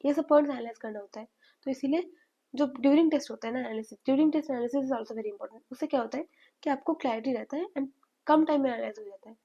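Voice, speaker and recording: A young woman with a high yet mellow voice explains study tips in a hesitant, filler-heavy way. She sounds slightly hoarse from a cold. The recording is dull and lo-fi. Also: fairly quick. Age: young adult. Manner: hesitant, mixes in English, many fillers. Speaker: medical student giving study advice. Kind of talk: casual advice to viewers.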